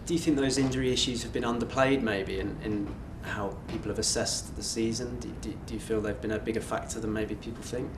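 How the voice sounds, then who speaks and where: A man's voice: on the low side, fairly even intonation, fairly quick, hardly any pauses. A man working as a sports reporter, tentatively putting and rephrasing questions at a press conference. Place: indoor press conference room. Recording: echoey room, clear background noise.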